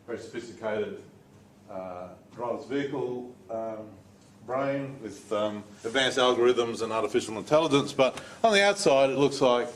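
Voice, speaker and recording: A man's voice: fairly low pitch, recorded from a distance, slight background noise. A man giving a talk to a live audience, speaking conversationally and putting questions to the crowd. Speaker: guest speaker. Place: lecture room before an audience. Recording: echoey room, off mic, faint ambience.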